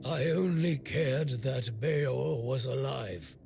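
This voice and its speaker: An actor playing a bitter, blunt, middle-aged blacksmith in a video game, grumbling and weary with worry. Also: male voice, mid-range voice, slow pace, creaky, a little mellow, faint music behind. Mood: bitter, dismissive. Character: gruff, bitter male blacksmith, worried for a stricken young man.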